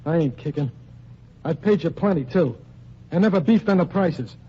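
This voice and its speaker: A man's voice: a little high, animated, normal speed, lightly acted. A man playing a blunt, slangy nightclub gangster who talks ungrammatically, in 1940s radio acting on a dull lo-fi recording with faint hiss. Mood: defensive. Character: tough, defensive male nightclub gangster.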